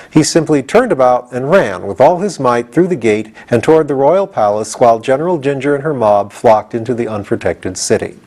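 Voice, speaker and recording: A man's voice: mid-range voice, darkish tone. A man reading a storybook aloud as scripted audiobook narration. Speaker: audiobook reader.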